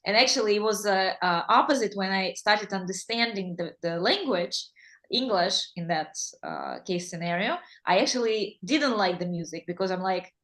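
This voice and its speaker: A woman's voice: mid-range voice, sing-song, normal speed, fairly bright tone. A woman with a Russian accent hosting an interview in English, chatty and enthusiastic. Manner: enthusiastic, chatty, laughs easily. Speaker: interview host and English teacher. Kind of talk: casual interview chat.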